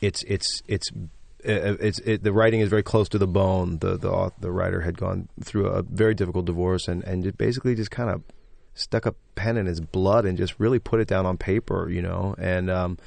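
A man, a stage actor and singer, talking enthusiastically as a guest in an interview, with a loud, braying voice. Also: low-pitched, fairly even intonation, brisk, creaky, no room sound. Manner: enthusiastic, effusive. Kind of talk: casual interview talk.

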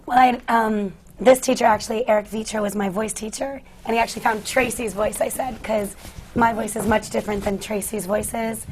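Husky, raspy young actress on a panel. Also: female voice, on the high side, fairly even intonation, a little fast, darkish tone, a little hiss or hum, poor audio. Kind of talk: panel discussion.

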